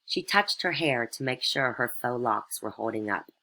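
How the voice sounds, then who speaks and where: Casting: female storyteller for satirical fairytale narration, extremely low pitch, bright forceful tone. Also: animated, normal speed, rough recording.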